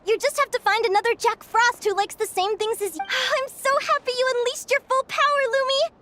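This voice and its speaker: An actress voicing a cheerful, curious young woman researcher in a video game, talking in an eager, animated way. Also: very high-pitched, a little fast, very noisy. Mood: excited.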